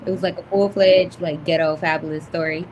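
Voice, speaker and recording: A young woman with a high, light voice talks in a relaxed, giggly way, filling her sentences with "like" and "um." A faint hiss runs under the recording. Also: brisk, rough recording. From Detroit. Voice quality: high-pitched voice. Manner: laid-back, giggly, lots of "like" and "um". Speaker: author, podcast guest. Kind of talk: casual chat.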